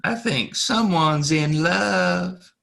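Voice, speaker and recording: A man acting a good-natured, nervous American cowboy sidekick in a Western audio play, folksy and excitable with a bit of a stammer. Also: sits high, extremely slow, vocal fry, full character voice. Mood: eager, teasing.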